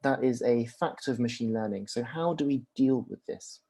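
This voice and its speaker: A man's voice: medium pitch, normal speed, warm tone. A young man giving a conversational lecture, full of ums and restarts and rhetorical questions.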